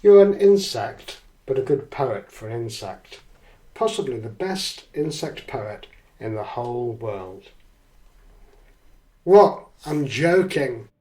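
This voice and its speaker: A man playing a sardonic, self-important poet in a radio drama, deadpan and sarcastic in his declaiming, in an echoey room. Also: mid-range voice, takes it slowly. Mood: mocking.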